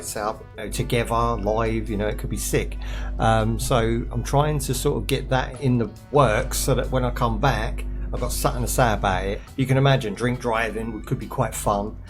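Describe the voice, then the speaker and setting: A man at a middling pitch streams a game and rambles to his viewers in loose slang, laughing easily. His voice is going hoarse. Music plays clearly behind him. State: losing his voice. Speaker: gaming streamer. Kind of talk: casual gameplay commentary to viewers.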